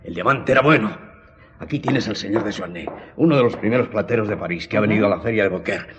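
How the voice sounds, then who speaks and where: A man's voice: on the low side, quick pace, muffled recording, a little hiss or hum, lightly acted. A man acting in a radio drama as a robust, eager innkeeper who is greedy for money. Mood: excited, welcoming.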